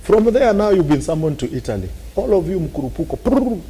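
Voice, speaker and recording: A man's voice: on the high side, big pitch swings, brisk, warm tone. A man with a Kenyan accent, arguing animatedly on a talk show and acting out scenes with sound effects. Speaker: political commentator.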